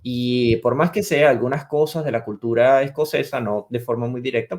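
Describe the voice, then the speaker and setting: A man in his twenties from Venezuela, a theology teacher explaining terms step by step as a podcast guest. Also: medium pitch. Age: 20s. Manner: lecturing, defines terms step by step. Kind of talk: explanatory interview answers.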